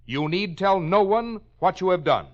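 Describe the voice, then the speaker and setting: Higher-voiced man announcing on muffled old-time radio. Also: animated. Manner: formal, deliberate enunciation. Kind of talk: 1950s radio announcing, scripted narration. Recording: muffled 1950 radio broadcast, faint music.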